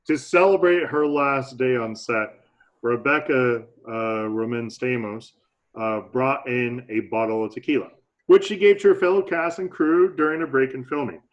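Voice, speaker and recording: A man's voice: medium pitch, measured pace, dull audio. A man co-hosting a movie podcast in casual chat, laid-back and hesitant, often restarting his sentences. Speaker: podcast co-host.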